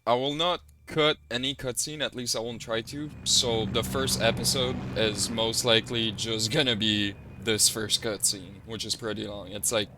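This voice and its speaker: A man's voice: medium pitch, sharp tone. A man hosting a let's play, chatting casually in a laid-back way. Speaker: gaming video host. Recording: faint background noise.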